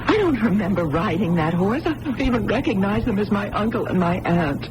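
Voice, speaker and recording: A woman's voice: medium pitch, expressive, brisk, natural voice. A woman playing a bewildered young amnesiac in a 1980s radio drama, plaintive and questioning. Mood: confused, plaintive. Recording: noisy old radio broadcast recording.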